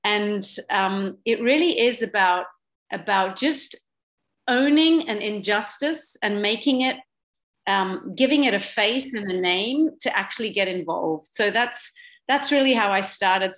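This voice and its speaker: A woman's voice: medium pitch, a little slow, a bit bright. A woman in her forties, from Switzerland, with a slight Australian twang, speaking as guest on a video call. She talks earnestly and with passion, with many fillers and restarts. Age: 40s. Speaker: guest speaker, social business founder. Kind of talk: online talk with a moderator.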